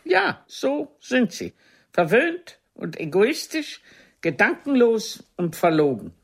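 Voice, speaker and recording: An actress playing an aging, proud and wounded stage diva in a German radio play, with a deliberate, cutting, theatrical delivery. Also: female voice, fairly high pitch, big pitch swings, creaky, slightly rough, full character voice. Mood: bitter, accusing.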